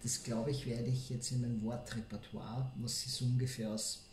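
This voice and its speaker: A man's voice: medium pitch, little pitch movement, moderate pace, a little mellow. A man with an Austrian accent, chatting casually as a co-host. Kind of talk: casual chat, commentary. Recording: echoey room, faint background noise.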